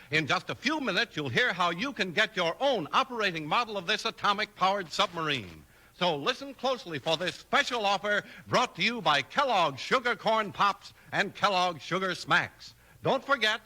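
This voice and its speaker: Bright, high-voiced American radio announcer man. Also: low-quality recording. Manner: emphatic, polished. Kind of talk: 1950s radio announcing, scripted. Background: faint music.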